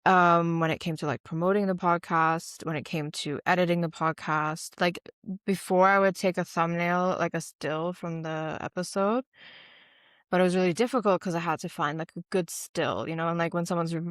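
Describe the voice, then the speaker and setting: A woman co-hosting a podcast in relaxed, chatty talk, explaining at length with many fillers. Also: lowish voice, moderate pace, no echo, clean studio sound. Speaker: podcast co-host. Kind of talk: casual chat.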